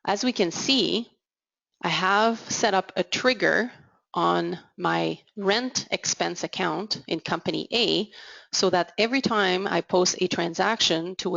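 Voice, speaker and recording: A woman giving a measured, explanatory software product demo as voice-over, slowing down on key terms. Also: fairly low pitch, gravelly, dry recording, lo-fi sound. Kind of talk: scripted product demo narration.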